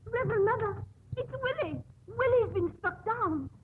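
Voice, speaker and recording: An actress playing an alarmed nun, agitated and exclamatory, in a 1950s radio drama. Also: female voice, extremely high pitch, lively intonation, normal speed, a little hiss or hum. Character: alarmed, breathless nun bringing bad news. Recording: tinny narrowband old radio recording.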